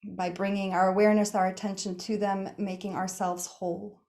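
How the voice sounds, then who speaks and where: A woman leading a guided meditation in a soothing, unhurried manner, speaking in short phrases with pauses between them. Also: medium pitch, flat delivery, a little mellow. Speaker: yoga nidra meditation guide.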